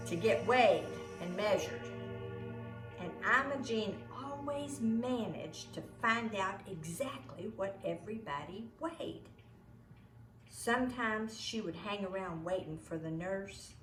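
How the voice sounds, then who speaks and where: Lady reading a kids book out loud, slow and really expressive, emphatic, echoey room. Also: mid-range voice. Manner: deliberate, emphatic storytelling. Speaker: storybook reader. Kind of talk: expressive read-aloud narration.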